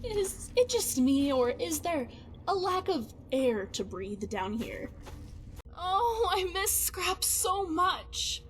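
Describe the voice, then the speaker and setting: Fantasy game companion , female actor: young, high-pitched, very expressive, wordy, wry, excitable. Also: slow, heavily acted. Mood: anxious, sad. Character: excitable, quirky young female adventuring companion, fretful and chatty.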